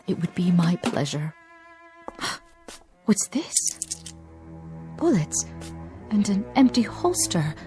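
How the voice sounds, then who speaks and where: Mellow, expressive, devoted housekeeper. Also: female voice, on the low side, takes it slowly, pauses often, soft background music. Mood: alarmed. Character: devoted, motherly housekeeper, fond and anxious. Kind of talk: radio drama acting.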